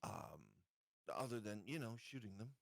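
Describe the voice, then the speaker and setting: A man's voice: fairly low pitch, expressive, moderate pace, creaky, dry recording, clean studio sound. A man chatting casually as a player in a tabletop roleplaying game, talking over plans with the others.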